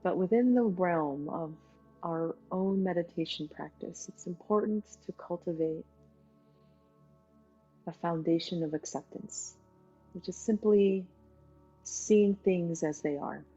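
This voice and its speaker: A woman leading a guided meditation as its teacher, talking in an unhurried way with pauses between short phrases. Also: on the low side, mellow, breathy edge. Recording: dull lo-fi sound, music behind. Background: music.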